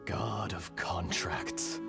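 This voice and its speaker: A man's voice: sits low, very slow, gravelly, over loud music, full character voice. A man voicing a grave, resigned ancient earth dragon in a game, with solemn, archaic phrasing. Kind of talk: scripted game dialogue.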